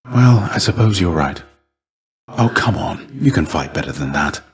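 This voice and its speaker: A middle-aged man acting a video game role, a gentle, weary warrior shade and mentor, speaking in a deep, soft-edged voice with measured, courteous, old-fashioned formality. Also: lively intonation, slightly rough, heavily acted. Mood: warm, humble.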